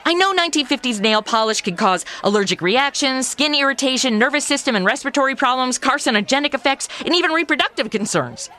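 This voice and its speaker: Woman playing sassy modern gal, high bright voice, very animated, sarcastic, complaining, comedy radio play. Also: quick pace, hardly any pauses. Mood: catty, flippant. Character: sassy, flippant modern woman stuck in 1950s noir Cuba. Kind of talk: comedy audio drama acting.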